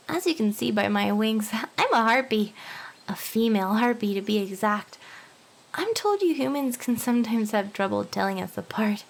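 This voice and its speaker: Woman playing a high-voiced, eager harpy girl. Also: gravelly, exaggerated voice. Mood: playful, chatty. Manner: chirpy, coaxing, flustered, swings into soft whispers. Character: hyper, eager young female harpy, smitten and possessive. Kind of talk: anime ASMR roleplay acting.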